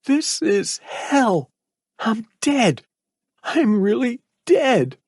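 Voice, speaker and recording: A frightened teenage boy pleads in a high, breathless voice that shakes with fear. The sound is husky and dry, close to the ear. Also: sing-song, extremely slow, darkish tone, dry recording, exaggerated voice. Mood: despairing. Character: terrified abused teenage boy, desperate.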